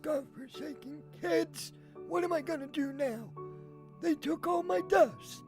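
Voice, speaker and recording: Audio drama grumpy old storekeeper man played by a woman: squeaky, slow, exasperated. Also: a little mellow, faint music behind. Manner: scolding, exasperated. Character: cranky, indignant old male shopkeeper. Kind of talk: fan audio drama acting.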